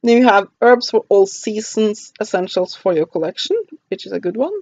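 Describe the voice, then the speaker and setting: A woman with a Norwegian accent reviews a book, reading through its contents in a halting, casual way with many pauses and stumbles over names. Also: mid-range voice, darkish tone, lo-fi sound.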